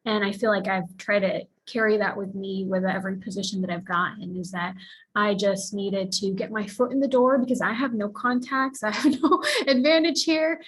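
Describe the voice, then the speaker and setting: A woman's voice: mid-range voice, rough recording. A woman from the Philippines speaking earnestly as a panelist, sharing her own path with many fillers. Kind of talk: panel discussion, personal account.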